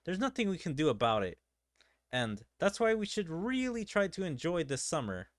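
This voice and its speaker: A man's voice: a little high, sing-song, moderate pace, no echo, clean studio sound. A man voicing a kindly, reflective young leader and teacher from an anime game, reading the lines aloud. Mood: pensive. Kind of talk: amateur read-aloud of anime game dialogue.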